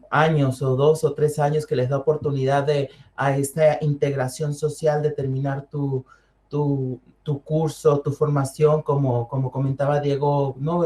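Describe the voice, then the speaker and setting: A woman's voice: deep voice, mellow. Candid Mexican woman on a panel. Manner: candid, conversational, many fillers and restarts. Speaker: panel guest. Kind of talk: online panel discussion.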